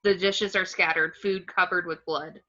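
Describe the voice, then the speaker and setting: Bright, low-voiced woman narrating a script. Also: fairly even intonation, moderate pace, muffled recording. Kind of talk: reading screenplay action descriptions.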